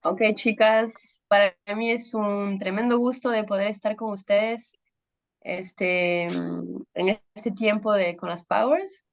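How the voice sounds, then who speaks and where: A woman in her thirties, a pastor from Peru, with a Peruvian Spanish accent touched by French, giving a women's ministry talk and pausing often with fillers to search for words. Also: medium pitch, fairly even intonation, creaky. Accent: Peruvian Spanish with some French influence. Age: 30s.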